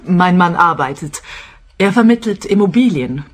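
Woman playing a haughty, lowish-voiced rich wife. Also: lively intonation, moderate pace, music in the background, lightly acted. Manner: snobbish, dismissive. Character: haughty, vain wealthy wife, coldly indignant. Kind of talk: detective radio play.

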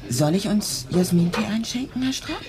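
A woman's voice: mid-range voice, animated, normal speed, a crowd in the background, natural voice. Radio play: female actor as gushing, easily shocked lady, swooping fluttery delivery, busy restaurant behind. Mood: solicitous, shy. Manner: fluttery, effusive, polite. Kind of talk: radio drama acting.

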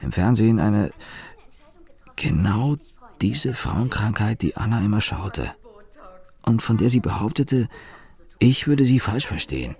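An actor playing a disaffected, sardonic young man who narrates his own radio drama in a deadpan, grumbling way. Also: fairly low pitch, unhurried, vocal fry, long pauses, slightly rough, exaggerated voice. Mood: deadpan, disgruntled. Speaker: narrator and main character. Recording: noisy background ambience.

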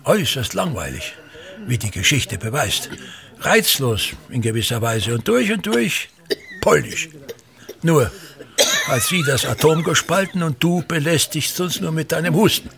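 A man's voice: on the high side, sing-song, unhurried, vocal fry, fairly bright tone, exaggerated voice. An actor playing a pompous, self-important older theatre man and tyrannical father, declaiming disdainfully and repeating his phrases in a radio drama. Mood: scornful.

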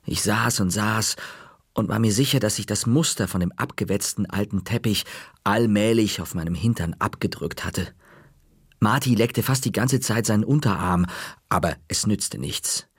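Husky man reading a children's story. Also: fairly low pitch, normal speed, dry recording, studio quality. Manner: lively, expressive reading. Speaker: narrator. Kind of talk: audiobook narration of a children's story.

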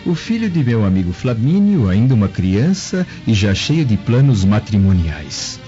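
Stately senator: warm, low, fatherly, reflective, radio drama in dull sound with music. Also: very animated, moderate pace. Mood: amused, musing. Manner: musing, stately. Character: dignified, fatherly Roman senator. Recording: muffled lo-fi, music underneath.

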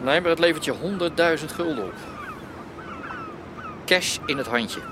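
An actor playing a cool, businesslike gangster in a Dutch radio drama, terse, commanding and matter-of-fact. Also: male voice, on the high side, animated, moderate pace, natural voice. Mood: firm, businesslike. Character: cool, businesslike gangster arranging smuggling deals. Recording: clear ambient noise behind voice.

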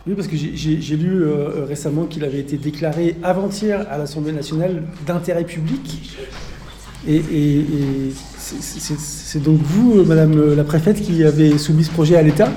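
A man's voice: a little high, moderate pace, mellow, natural voice. A man playing a persistent press reporter who repeats words and stammers through his questions in a radio fiction. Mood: probing, hesitant. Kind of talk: improvised mock press conference for radio fiction. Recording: roomy echo with faint noise.

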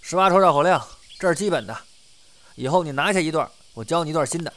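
Man acting confident young male performer, instructive, assured, rapid, high-pitched, audio drama. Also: a bit bright, close to an everyday voice. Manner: assured, explanatory.

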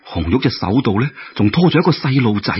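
A man with a Cantonese accent telling a folk tale in an animated, colloquial way, full of exclamations. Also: mid-range voice, fast, breathy edge, dull audio. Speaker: storyteller. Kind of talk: dramatic Cantonese-style folk tale storytelling.

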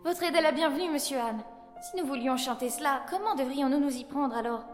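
A woman plays a young Parisian journalist of 1888 in an audio drama. Her voice is high, expressive and literate, curious and bold, with music playing underneath. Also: a little fast, natural voice. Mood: polite, composed. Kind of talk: French audio drama acting with first-person narration.

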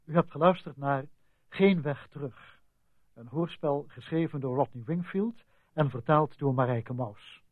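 A dry, band-limited vintage radio recording of a male announcer. He reads drama credits formally and slowly, with a fairly high voice. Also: dry recording, narrow, tinny audio.

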